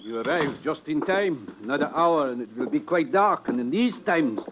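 Brief: stiff, formal Dutch planter host, older, light background hiss. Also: male voice, fairly high pitch, animated, normal speed, fairly bright tone. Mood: formal courtesy. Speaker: plantation owner. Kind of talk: 1950s radio drama acting.

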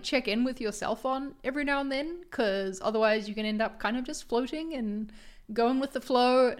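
A young woman co-hosting a podcast, her voice a little raspy from heavy use, chatting in a friendly, teasing way. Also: medium pitch. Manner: friendly, teasing, laughs easily. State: slightly raspy from a long filming day. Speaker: podcast co-host. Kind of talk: casual chat.

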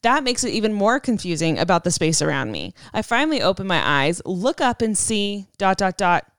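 A young woman co-hosting a podcast, reading a listener's story aloud in an animated way and laughing often. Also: medium pitch, a little fast, no room sound, studio quality. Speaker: podcast co-host.

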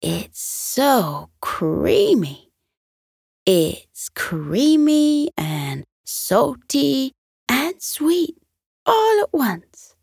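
Woman as female cat, gentle, dreamy, bedtime story, very slow, lively lilt. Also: sits high, gravelly, husky, no echo, clean studio sound, pitched above the performer's own voice, heavily acted. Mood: dreamy delight.

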